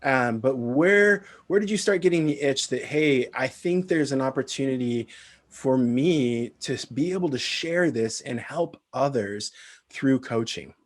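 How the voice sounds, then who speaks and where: A man's voice: medium pitch, lively intonation, unhurried. A man hosting an interview, asking friendly questions and giving brief acknowledgements. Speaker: podcast host. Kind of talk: interview host.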